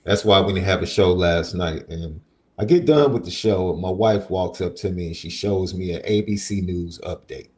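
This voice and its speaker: Male podcast host, congested from a cold, lively and quick to laugh, recorded in a roomy space. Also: low-pitched. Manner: animated, laughs often, slows for emphasis. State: stuffy from a summer cold. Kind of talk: casual sports podcast chat. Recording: roomy echo.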